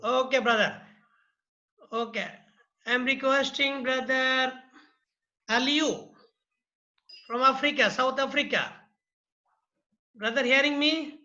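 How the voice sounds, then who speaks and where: A man's voice: very high voice, slow pace, long pauses, bright, forceful tone. A man moderating an online Q&A, politely calling on participants and asking them to be brief. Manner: polite, coaxing, repeats requests. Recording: muffled online call audio.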